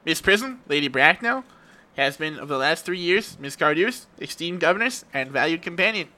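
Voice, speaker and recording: Earnest young gentleman read by a man, courteous and formal, highish pitch, bright forceful tone. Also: lively intonation, normal speed.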